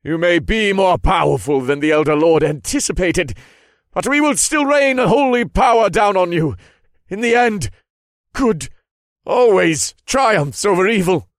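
Guy doing an angry righteous general for an audiobook, shouting defiantly, pitched way up and loud. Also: animated, a little slow, dry recording, studio quality. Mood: righteous outrage. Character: furious, self-righteous male elder army general. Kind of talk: audiobook character voice.

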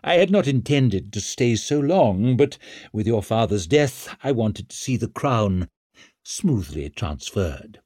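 Old wizard statesman, acted by a man: slow, formal, patronising, fantasy audiobook. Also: fairly low pitch, big pitch swings, a little mellow, slightly rough, dry recording, clean studio sound, exaggerated voice. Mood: formal, cool. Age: older. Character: old male wizard adviser, smooth, hard, self-important.

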